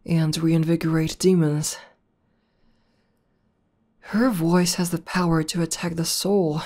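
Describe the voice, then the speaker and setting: A woman playing a proud, battle-hardened tomboy demon girlfriend in an intimate ASMR roleplay, talking conversationally with thoughtful pauses. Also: low voice, mellow. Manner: unhurried, hesitant pauses, conversational.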